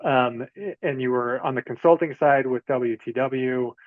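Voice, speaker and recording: A man hosting an interview, asking questions in a friendly, halting way with many fillers over a video call. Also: mid-range voice, steady tone. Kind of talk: casual interview. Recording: tinny phone-like call audio.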